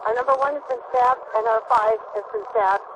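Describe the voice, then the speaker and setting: A woman working as a flight attendant makes an emergency phone call, reporting in a halting, urgent but controlled way. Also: a little high, steady tone. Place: aboard an airliner in flight. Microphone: telephone. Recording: noisy archival phone recording.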